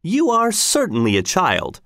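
A man's voice: on the high side, sing-song, moderate pace, no echo, studio quality, pitched above the performer's own voice. A man reading a story voices a worried wife and mother who scolds and frets insistently, in a raised voice. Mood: scolding. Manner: nagging, fretful, insistent. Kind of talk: audiobook character reading.

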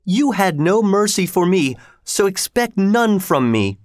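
A cruel sorceress queen from a storybook, acted out by a man, speaks in a raised, high pitch. She is lovesick and theatrical, imploring and imperious in her delivery. The sound is dry and close. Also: sing-song, unhurried, dry recording. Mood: merciless.

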